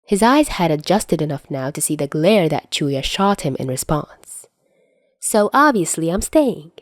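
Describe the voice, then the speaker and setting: A woman narrates a piece of fiction in a fairly low voice. The delivery is scripted storytelling, recorded dry with no room sound. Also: expressive, moderate pace, dry recording. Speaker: podfic narrator.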